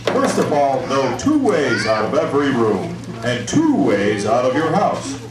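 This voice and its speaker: A man's voice: medium pitch, huge pitch swings, measured pace. A man playing a friendly firefighter who teaches children fire safety in an encouraging, instructive way in a scripted show. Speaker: firefighter presenter. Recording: echoey hall, distant mic, noisy audience.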